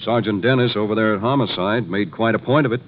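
Dull old radio recording, man acting earnest worried male executive, low-pitched, emphatic, grave. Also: fast, close to an everyday voice. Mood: grave, urgent. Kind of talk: 1950s radio drama acting. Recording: dull, faintly noisy 1950s radio recording.

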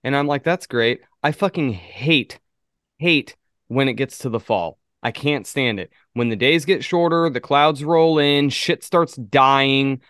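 A man's voice: mid-range voice, a little slow, a bit bright, clean tone, dry recording, studio quality. A man co-hosting a podcast, chatting casually and bluntly with some swearing. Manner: blunt, profane. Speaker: podcast co-host.

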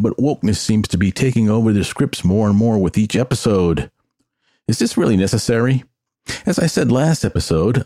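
A man's voice: fairly low pitch, dark tone, no echo, studio quality. An older man hosting a solo podcast, giving personal, opinionated commentary in a conversational way. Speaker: podcast host.

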